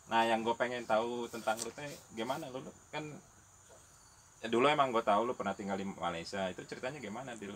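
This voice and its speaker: A man's voice: mid-range voice, quick pace, a bit bright, slight background noise. A man hosting a casual chat, speaking with a tinge, asking direct, slangy follow-up questions. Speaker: host.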